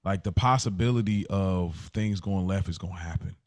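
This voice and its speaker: A man's voice: low-pitched, moderate pace, a little mellow, no room sound. A man co-hosting a podcast in casual, slangy conversation. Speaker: podcast co-host.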